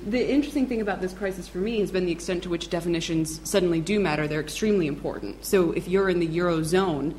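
Low-voiced woman musing on a panel. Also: quick pace, few pauses, a little mellow, slight background noise, rough recording. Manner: thoughtful, hedging with fillers. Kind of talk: conference panel discussion. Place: conference hall with audience.

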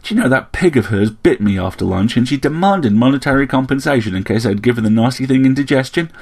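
An amused young woman from a storybook, voiced by a man, chatty and a little spiteful. Her complaints come lightly, with lively swings in pitch. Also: quick pace. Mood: gleeful, gossipy.

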